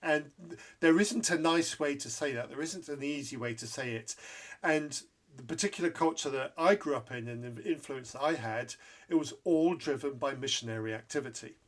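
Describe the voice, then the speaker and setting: A man's voice: on the high side, moderate pace. A man hosting an interview podcast, who grew up in Zambia, speaking candidly and repeating points for emphasis. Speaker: podcast host.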